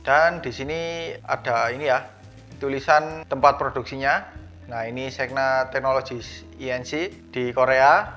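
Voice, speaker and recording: A man's voice: medium pitch, unhurried, fairly bright tone. A man narrating a product unboxing in a casual, conversational, explanatory way. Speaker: unboxing vlogger. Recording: dull lo-fi sound, music behind. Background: background music.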